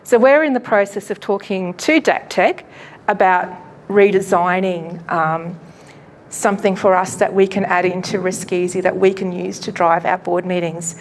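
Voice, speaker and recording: A woman pastor giving a live presentation to an audience at a launch event, loud and chatty, often laughing and poking fun at herself, her microphone cutting in and out. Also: on the low side, steady tone, moderate pace, a little hiss or hum. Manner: loud, chatty and self-mocking; laughs often while talking. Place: event venue.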